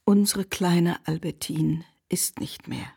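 An actress in a radio drama playing a grieving woman who breaks terrible news in a hushed, halting, breathy way. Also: lowish voice, fairly even intonation, full character voice. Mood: grave, sorrowful. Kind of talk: radio drama reading of a telegram.

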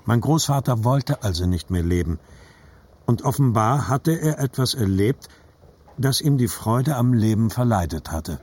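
An actor playing a reflective adult narrator remembering his boyhood, reading literary narration in a measured, husky voice. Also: mid-range voice, lively intonation, a little mellow.

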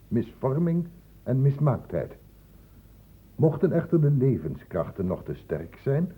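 An actor playing a stern, insistent doctor in a radio play, blunt and urgent, in a lecturing way. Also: male voice, mid-range voice, husky, full character voice. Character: stern, insistent male doctor questioning young people. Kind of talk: Dutch radio play acting. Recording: muffled old radio play recording.

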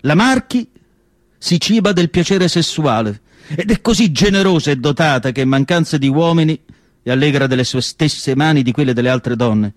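A man performing a theatrical literary monologue, declamatory, with deliberate phrasing and pauses. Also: on the high side, expressive, dry recording, studio quality. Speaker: stage actor reading literary prose. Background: music.

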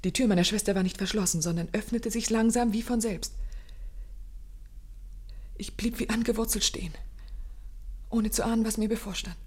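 An actress playing an anxious, grieving young gentlewoman who tells her story formally and intensely in a German radio play. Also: medium pitch, steady tone, moderate pace, pauses often, husky, natural voice. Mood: haunted, tense. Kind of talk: German radio play acting, dramatic first-person narration.

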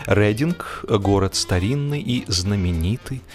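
A man's voice: fairly low pitch, expressive, a little slow. Male storyteller with music behind him. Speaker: audiobook narrator and actor. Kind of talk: scripted narration of a humorous story.

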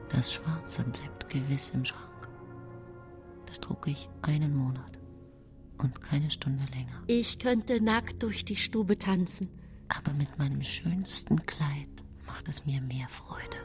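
An actress playing a scheming, sensual nineteenth-century widow in a radio drama monologue, intimate and breathy in her confiding. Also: low-pitched, darkish tone. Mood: secretly elated. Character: scheming early-19th-century German widow, sensual and self-satisfied. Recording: music bed behind the voice. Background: music.

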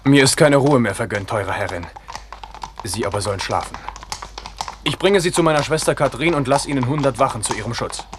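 A man's voice: medium pitch, lively intonation, a little fast, background noise, natural voice. An actor playing a king in a radio drama, speaking tenderly yet with authority. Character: king, warm and commanding. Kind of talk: 1970s radio drama acting. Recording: vintage radio play, music underneath.

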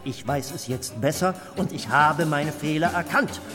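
An actor in a German radio play playing a pompous, menacing Nazi minister who is domineering and mercurial, swinging from soft threats to barking. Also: male voice, a little high, lively intonation, normal speed, natural voice. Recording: long hall reverb, faint music underneath.

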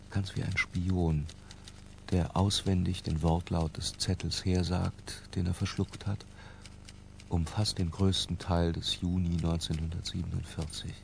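An actor playing an obsessive, lyrical middle-aged intellectual who confesses in a hushed, deliberate literary radio drama narration. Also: male voice, deep voice, creaky, a little hiss or hum. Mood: measured confiding. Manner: hushed, deliberate, breaking into near-whispers.

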